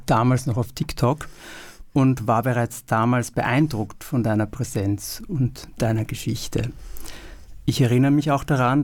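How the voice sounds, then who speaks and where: A man at mid pitch hosts a radio show from the studio. He reads his prepared moderation calmly and in measured phrases, with a few fillers when speaking freely. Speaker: radio host.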